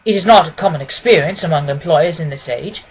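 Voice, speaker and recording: A woman voicing a confident, quick-witted gentleman detective from a storybook, precise and amused. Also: deep voice, a little hiss or hum, lightly acted, poor audio. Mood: wry. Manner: precise, expository, assured.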